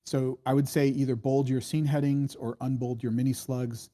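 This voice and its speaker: Mellow-voiced man, rambling and hesitant. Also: mid-range voice, flat delivery, normal speed. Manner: rambling, hesitant, hedging with many false starts. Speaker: screenwriting workshop critic giving script notes. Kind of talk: casual spoken feedback on a screenplay.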